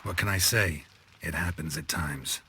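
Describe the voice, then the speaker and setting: A very deep-voiced, deadpan monster hunter. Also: male voice, normal speed, a little hiss or hum. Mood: dry, resigned. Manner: terse, deadpan. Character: stoic, world-weary monster hunter for hire. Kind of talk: understated video game acting. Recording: faint music behind the voice.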